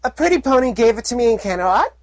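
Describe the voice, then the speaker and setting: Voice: medium pitch, very animated, fast, dry recording, voice pushed up. A storyteller voicing a cheerful, naive young filly pony who talks in a bubbly, childlike squeal. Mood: giddy.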